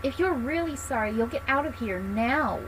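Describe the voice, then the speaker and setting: A woman's voice: high voice, lively intonation, moderate pace, noisy background. A woman voicing a stern, commanding young female game character who scolds firmly. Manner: scolding, firm. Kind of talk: video game dialogue read aloud.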